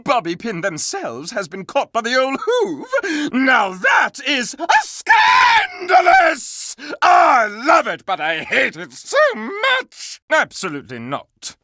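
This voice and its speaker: A living candelabra from a video game, a flamboyant gossip who is easily scandalized. The delivery is grandly theatrical and mock-archaic, leaping across a huge pitch range with a bright, cutting edge and slow, drawn-out relish, in a dry close recording. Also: male voice, huge pitch swings, dry recording, full character voice. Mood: excited, scandalized. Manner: theatrical, mock-archaic, dramatic exclamations and coy asides. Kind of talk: animated video game character acting.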